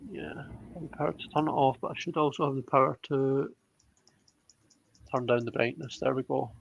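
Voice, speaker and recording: A man's voice: medium pitch, fairly even intonation, brisk, vocal fry, husky. A man hosting a live tarot reading in a casual, conversational style. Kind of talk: casual livestream talk. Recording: dull, muffled lo-fi livestream audio.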